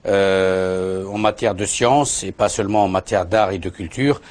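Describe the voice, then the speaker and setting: Low-pitched man hosting an interview. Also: measured pace. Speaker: interview show host. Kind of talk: conversational broadcast interview.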